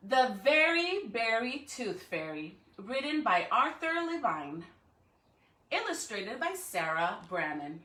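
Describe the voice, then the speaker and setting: A woman's voice: on the high side, sing-song, slow, bright, forceful tone, distant mic. A woman hosting a school read-aloud, talking to young children in an encouraging way with frequent check-in questions. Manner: encouraging, checks in often with rhetorical questions and "right?". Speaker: elementary school staff member hosting a read-aloud for students. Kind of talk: addressing children on a live stream, then reading a storybook. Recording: roomy echo, away from the mic.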